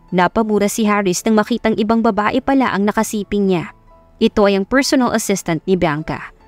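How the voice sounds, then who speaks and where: Female Filipino narrator for a romance audiobook; dry, close sound over soft music. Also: mid-range voice, no room sound. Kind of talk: scripted romance story narration.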